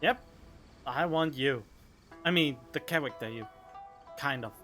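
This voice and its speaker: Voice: medium pitch, unhurried, a bit bright, faint music behind. A game streamer giving casual, terse asides while commenting on gameplay.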